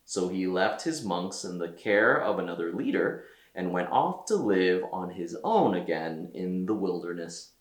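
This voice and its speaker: Expressive low-voiced man reading to children. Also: measured pace, reverberant. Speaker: storyteller reading a children's book aloud. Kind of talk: expressive read-aloud narration for children.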